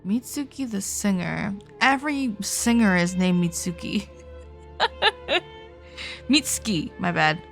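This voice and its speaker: A woman's voice: medium pitch, very animated, slow pace, creaky, pauses often, faint music behind. A young woman livestreaming a game, chatting casually and reacting in an informal, giggly, sweary way.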